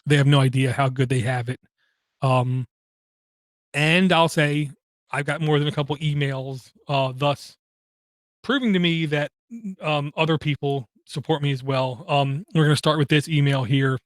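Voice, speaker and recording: A man hosting a podcast, talking through personal matters in a halting way, with many pauses and fillers and an occasional stutter. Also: on the high side, steady tone, no echo. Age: adult, has kids. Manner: halting, frequent ums and uhs, slight stutter. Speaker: podcast host. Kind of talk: personal monologue.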